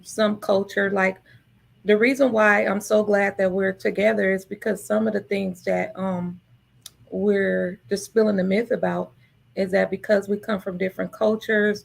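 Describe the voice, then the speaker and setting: A woman's voice: medium pitch, creaky. A woman hosting an interview, affirming and conversational as she sets up questions for her guest. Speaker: host. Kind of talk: casual interview talk.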